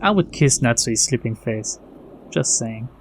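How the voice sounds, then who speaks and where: A man's voice: mid-range voice, expressive, unhurried, a little hiss or hum. A man hosting a game video, reading text aloud and adding wry, casual commentary. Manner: informal, wry. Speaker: gaming video host. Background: soft game music.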